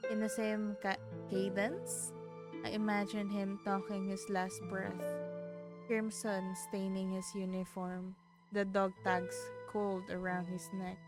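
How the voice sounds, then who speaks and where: A woman with a Filipino accent, streaming a game and reading its text aloud. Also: medium pitch. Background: game music.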